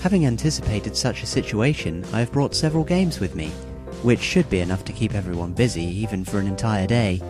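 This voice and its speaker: A man playing a polite young game organizer in an audio drama, speaking in a formal, measured, explanatory way. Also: lowish voice, lively intonation, over music, lightly acted. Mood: composed, formal. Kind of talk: anime-style audio drama acting.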